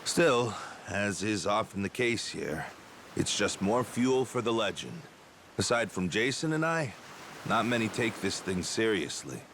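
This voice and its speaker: A man's voice: on the low side, sing-song, a little slow, fairly bright tone, a little hiss or hum, heavily acted. A man voicing a knowing, teasing treasure hunter who tells stories informally in a video game dub. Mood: casual, intrigued. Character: knowing male treasure hunter sharing rumors, smooth-talking.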